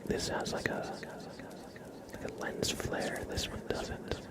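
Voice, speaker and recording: A man hosting an ASMR livestream, speaking softly and casually to viewers in a gentle, rambling, hesitant way. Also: takes it slowly. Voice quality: soft, breathy whispering with creaky low undertone. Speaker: livestream host.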